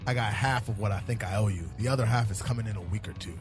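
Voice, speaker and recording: A man playing a world-weary, cynical police detective in a fan-made sci-fi noir audio drama, terse and deadpan. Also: lowish voice, fast, over music, lightly acted. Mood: businesslike, easygoing. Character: world-weary hard-boiled male police detective in space.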